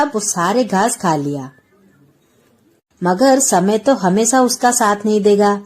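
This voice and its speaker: Slow, animated woman storyteller. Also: mid-range voice. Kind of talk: scripted children's story narration.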